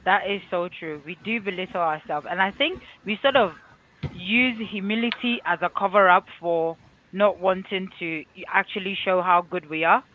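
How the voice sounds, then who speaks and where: A man from Ghana with a Ghanaian accent, hosting a livestream discussion in a reflective, measured way. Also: high voice. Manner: reflective, measured, uses fillers. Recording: tinny phone-like call line.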